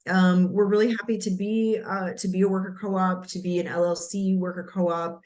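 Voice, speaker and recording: An American speaker explaining things as an interview guest, measured and searching for words. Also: medium pitch, little pitch movement, darkish tone. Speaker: interview guest, cooperative co-founder.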